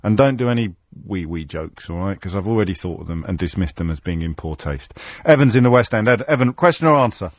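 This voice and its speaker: A man's voice: low-pitched, expressive, quick pace, hardly any pauses, dry recording. A middle-aged man hosts a radio phone-in in a dry, teasing way and makes fun of himself. He talks into a studio mic, and the sound is close and dead, with no room around it. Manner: dry, teasing, self-mocking. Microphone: studio microphone.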